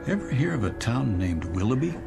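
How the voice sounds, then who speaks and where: A man's voice: medium pitch, sing-song, fairly quick, creaky, over music. A man acting in a radio drama as a weary, bewildered businessman on a train, hesitant and stammering. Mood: dazed.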